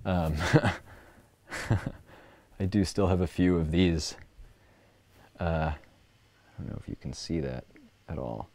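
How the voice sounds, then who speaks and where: A man with a fairly low voice hosts a casual livestream from an indoor workspace. He rambles playfully as he shows things off. Some background noise and clicking sit behind his voice. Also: takes it slowly, long pauses, warm tone. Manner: playful, rambling show-and-tell. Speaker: livestream host. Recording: clearly noisy room recording with clicks.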